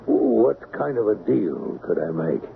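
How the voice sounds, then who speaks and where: An actor playing a wary, bargaining small-time criminal with a gravelly voice and hesitant, slangy talk in an old radio drama. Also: male voice, lowish voice, wildly swooping pitch, unhurried, exaggerated voice. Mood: cagey, bargaining. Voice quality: gravelly, creaky. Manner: hesitant, hedging, streetwise slang. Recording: tinny, band-limited, noisy old radio recording.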